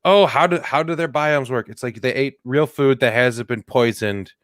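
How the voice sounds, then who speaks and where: A man's voice: medium pitch, moderate pace, fairly bright tone, no room sound, studio quality. A man co-hosting a comedy podcast, joking and riffing in casual chat, now and then slipping into silly put-on voices. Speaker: podcast co-host.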